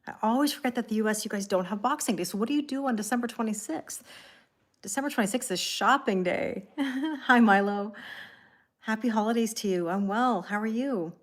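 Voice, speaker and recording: A woman's voice: fairly high pitch, a little fast. A Canadian woman, probably in her thirties, hosting a tarot live stream and chatting casually with viewers, greeting them by name and laughing often.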